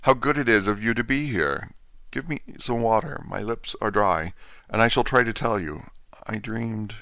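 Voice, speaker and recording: A man's voice: fairly low pitch, moderate pace, gravelly, sharp tone, no echo. A man voicing a dying asylum patient, weak and frantic, pleading in urgent gasps. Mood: faint. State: weak, near death.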